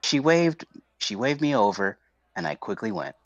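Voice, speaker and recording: A man's voice: lowish voice, sing-song, moderate pace, no echo, lo-fi sound. A man streaming on a close microphone, chatting casually and narrating a visual novel aloud.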